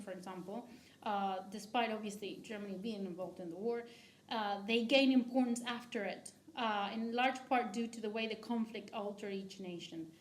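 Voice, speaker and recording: Higher-pitched woman in an echoey room. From Argentina. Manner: hesitant, many restarts and fillers. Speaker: discussant at a book talk. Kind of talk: academic commentary.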